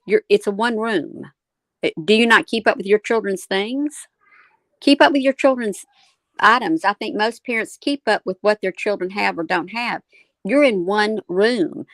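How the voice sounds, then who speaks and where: A woman's voice: medium pitch, moderate pace, a bit bright, no echo. A woman co-hosting a live discussion, giving opinionated commentary in an emphatic, questioning way. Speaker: co-host moderating a live discussion.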